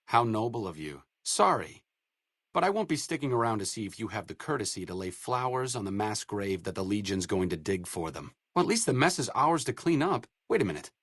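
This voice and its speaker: Casting note: sardonic low-voiced game doctor, middle-aged, dry booth sound. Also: male voice, big pitch swings, brisk, no room sound. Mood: sarcastic. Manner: dry, sarcastic, earnest. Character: wry idealistic male wasteland doctor. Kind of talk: video game voice lines.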